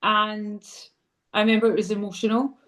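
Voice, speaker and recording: A woman's voice: mid-range voice, flat delivery, moderate pace, fairly bright tone. A woman coach hosting an interview, asking questions and affirming her guest with brief acknowledgements. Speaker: sober coach and host.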